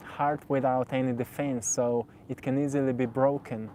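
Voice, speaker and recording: Mellow-voiced young man, native Slovenian. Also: medium pitch, level tone, normal speed, a little hiss or hum. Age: 20s. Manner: gentle, searching, repeats phrases. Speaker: spiritual video host. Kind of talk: reflective spoken monologue.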